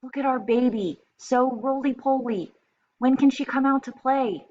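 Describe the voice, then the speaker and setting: A woman's voice: high voice, normal speed, mellow, lo-fi sound, a little hiss or hum, pitched above the performer's own voice, close to an everyday voice. A woman voicing doting, eager zoo animals in a storybook, gushing as she speaks.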